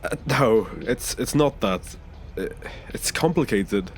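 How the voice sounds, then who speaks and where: A man's voice: on the low side, animated, takes it slowly, slight background noise. A man playing a nervous, defensive taxi passenger who stammers and hesitates, in a science fiction audio drama. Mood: nervous, awkward.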